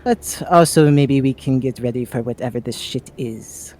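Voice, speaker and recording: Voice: medium pitch, moderate pace, darkish tone, a little hiss or hum. A player with an androgynous voice, casually roleplaying in a tabletop fantasy game.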